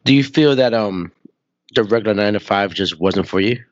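A podcast host with a deep, low voice that is still recovering from being lost. He talks in a relaxed, blunt way and swears casually. The audio is dull and dry, with a lo-fi feel. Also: a little fast, dry recording. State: voice still recovering after losing it. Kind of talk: casual interview chat.

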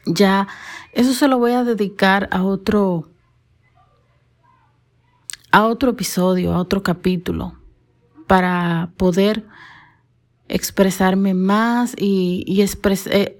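Slow, measured woman podcaster from the Dominican Republic. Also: medium pitch, darkish tone. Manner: careful, measured, formal diction from broadcast training. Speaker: podcast host. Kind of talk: solo podcast monologue.

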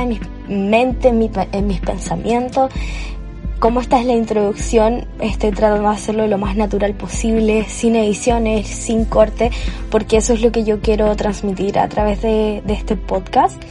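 A woman's voice: mid-range voice, warm tone. A cheerful young woman with a Chilean accent, talking off the cuff as host of her own podcast on a cheap home microphone, with fillers and restarts. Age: young adult. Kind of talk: improvised solo podcast monologue.

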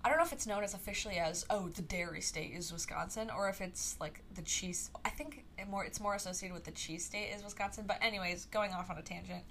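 A woman's voice: medium pitch, fast, hardly any pauses, a bit bright, slight background noise. Chatty young woman podcaster, rambling. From Chicago area. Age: young adult. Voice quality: rambling with many fillers and tangents. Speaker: podcast host. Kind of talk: casual solo podcast chat.

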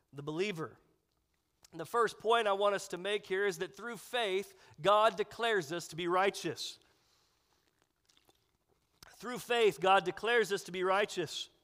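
Congested, nasal high-voiced preacher. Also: male voice, measured pace, fairly bright tone. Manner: conversational preaching with fillers. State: congested, nasal from a sinus infection. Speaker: pastor. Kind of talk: sermon. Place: church sanctuary. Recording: long hall reverb.

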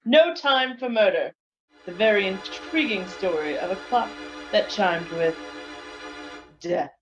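A woman playing a mysterious librarian who hosts crime stories, narrating in a theatrical old radio style with suspenseful pauses. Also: mid-range voice, natural voice. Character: mysterious female librarian host of a crime story club. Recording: muffled, noisy recording with clicks.

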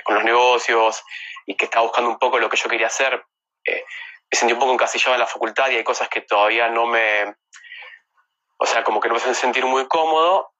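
A man's voice: medium pitch, sharp tone, highs cut off. An Argentine man in his thirties chatting casually as an interview guest, rambling and correcting himself as he goes. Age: 30s. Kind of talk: casual chat. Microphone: phone with earphones on a livestream.

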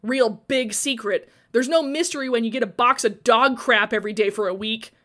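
A woman's voice: a little high, moderate pace, a bit bright, lightly acted. A woman voicing a scowling, bitter and sarcastic boy in a story.

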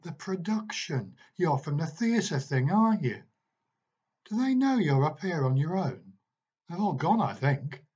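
A man's voice: fairly high pitch, big pitch swings, a little slow, darkish tone, husky, pitched above the performer's own voice, exaggerated voice. A man voicing an irritable, bossy grown man who barks raised, hectoring questions. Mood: irritated. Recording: dull, muffled recording.

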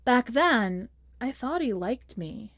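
A woman reading a first-person short story in a measured, expressive audiobook style. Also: a little high, no echo, studio quality. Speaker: podcast host and fiction reader.

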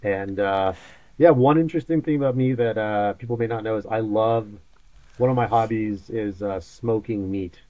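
A man from Mexico who grew up in West Texas, explaining things as a podcast guest in a relaxed, easygoing way with plenty of fillers. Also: fairly low pitch, normal speed, dry recording, dull audio. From Mexico, raised in West Texas. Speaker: podcast guest, sales advisor. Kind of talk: casual interview answers.

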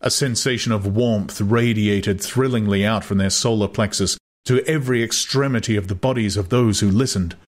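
A man narrating an audiobook in a measured, dramatic style. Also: lowish voice.

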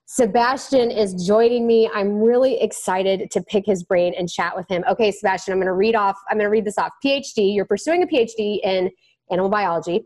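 A woman's voice: medium pitch, brisk, darkish tone. A woman hosting a podcast, introducing the show and interviewing a guest with bubbly enthusiasm. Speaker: podcast host. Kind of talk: scripted intro then casual interview.

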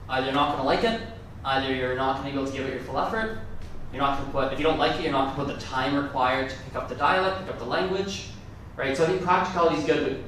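A man's voice: mid-range voice, a bit bright, a little hiss or hum. A man giving a casual, rambling conference talk, often correcting himself mid-sentence. His first language is Gujarati. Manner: chatty, rambling, self-correcting. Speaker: conference speaker. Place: large conference room. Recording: echoey hall, mic from far off.

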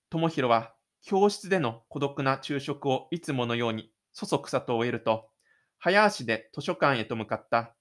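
A man's voice: medium pitch, a bit bright. A man reading scripted third-person narration for an audio drama.

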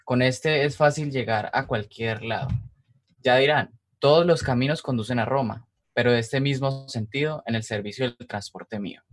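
A man's voice: medium pitch, normal speed. A man with a Colombian accent from Cali, hosting and narrating an informal podcast. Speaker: podcast host.

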